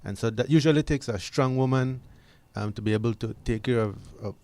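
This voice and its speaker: A man giving unscripted opinions as a discussion guest, earnest and measured with halting phrasing. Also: mid-range voice, a little mellow.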